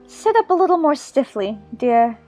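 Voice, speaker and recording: A woman's voice: high voice, big pitch swings, normal speed, mellow, faint music behind. A woman playing a chatty, imaginative little girl in an audiobook, fond and bossy, prattling on in playful scolding. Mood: triumphant, bossy. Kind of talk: dramatized audiobook character acting.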